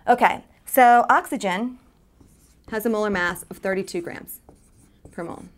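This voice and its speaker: A woman's voice: medium pitch, moderate pace, slight background noise. A woman in her thirties teaching chemistry at a whiteboard in a studio, explaining step by step with many fillers. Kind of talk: whiteboard lesson. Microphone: lapel mic.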